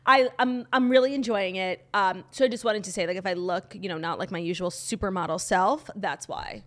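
A woman co-hosting a podcast in casual chat, her voice a little hoarse, talking in a gushing rush full of "like". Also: mid-range voice, fairly bright tone. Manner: gushing, fast, heavy use of "like". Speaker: podcast co-host.

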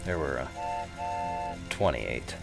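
A man playing a hesitant, guilt-ridden widowed husband confiding in an audio drama, with halting delivery. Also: rumbling low, lively intonation, creaky, full character voice. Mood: wistful, sad. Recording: background music under the voice.

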